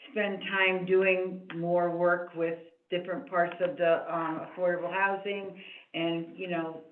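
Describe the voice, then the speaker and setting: A soft-spoken woman runs a town committee meeting, pausing on fillers as she moves through the agenda. Her voice sits on the low side. The sound is tinny and phone-like, in an echoey room with a faint hiss. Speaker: committee chair.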